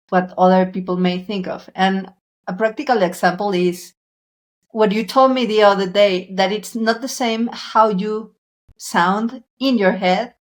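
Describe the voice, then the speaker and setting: A woman hosting a podcast interview, speaking in a measured, soft-spoken way. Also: medium pitch. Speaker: podcast host.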